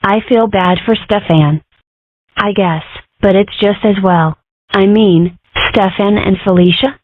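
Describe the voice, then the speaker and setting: A woman's voice: medium pitch, unhurried, no echo, lightly acted. A woman voicing young, matter-of-fact female animal characters in a comic strip dub. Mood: wry. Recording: muffled phone-like band.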